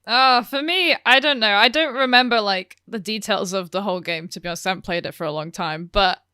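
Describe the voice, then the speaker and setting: Woman, casual podcast panelist, smooth clear voice, dry sound. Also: mid-range voice, a little fast, bright, forceful tone, dry recording. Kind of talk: casual fan discussion.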